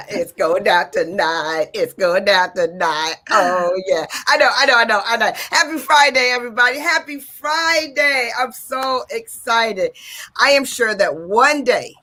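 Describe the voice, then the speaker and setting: A woman's voice: medium pitch, measured pace, pushed and bright. A woman from Detroit hosting an upbeat talk radio show, exuberant and sing-song, repeating phrases for emphasis. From Detroit, USA.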